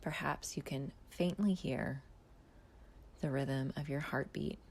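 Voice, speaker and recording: A woman leads a guided body scan in a slow, soft voice that often drops to a near whisper. Her pitch sits low and carries a gravelly fry. Long pauses separate her gentle instructions. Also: fairly even intonation. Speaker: mindfulness guide.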